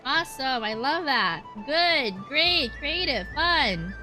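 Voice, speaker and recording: A woman's voice: sits high, expressive, slow pace. A woman giving casual, rambling live commentary as she plays a video game, chatty and slangy. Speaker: streamer playing a video game. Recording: game music behind voice.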